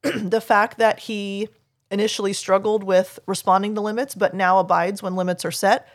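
Low-voiced woman podcast host, dry sound. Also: little pitch movement, normal speed, no echo. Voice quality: low speaking voice. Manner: explanatory, earnest, reads listener emails aloud. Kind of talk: teaching podcast, answering a listener's email.

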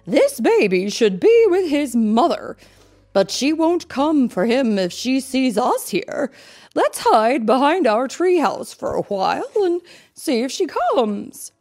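A woman's voice: sits high, big pitch swings, takes it slowly, a little mellow, pitched above the performer's own voice, exaggerated voice. Woman playing an emphatic, caring mother bear. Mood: reassuring. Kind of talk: children's storybook character.

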